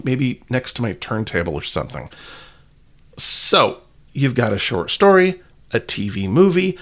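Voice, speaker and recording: A man's voice: medium pitch, a bit bright. A man hosting a podcast in a conversational narrating style, with wry, colloquial asides. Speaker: podcast host.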